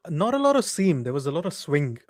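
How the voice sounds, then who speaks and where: A man's voice: on the high side, sing-song, a little fast, dry recording. A man co-hosting a live cricket watchalong, chipping in with dry remarks and statistics. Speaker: co-host analyst. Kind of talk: casual cricket chat with stats.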